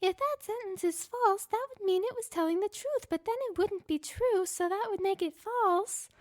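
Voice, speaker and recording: A woman voicing a hyperactive, silly little male robot who yells and shrieks gleefully. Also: moderate pace. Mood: goofy. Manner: shrill, gleeful yelling.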